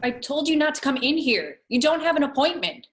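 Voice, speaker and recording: A woman playing an efficient, firm secretary in a screenplay table read, brisk and no-nonsense. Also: high-pitched, sing-song, fairly bright tone, slight background noise. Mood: scolding. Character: efficient, firm secretary guarding her boss's schedule.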